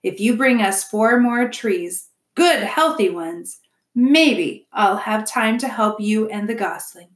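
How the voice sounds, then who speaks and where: A woman's voice: mid-range voice, animated, takes it slowly. A stubborn beaver husband from a children's tale, played by a woman. He blusters and grumbles in a fussy, grudging way. The sound has a noticeable room echo.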